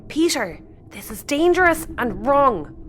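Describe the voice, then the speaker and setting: A woman's voice: sits high, big pitch swings, a little slow, background noise. An Irish woman acting as a principled, anxious and exasperated young spaceship crew member in a sci-fi audio comedy. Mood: worried, exasperated.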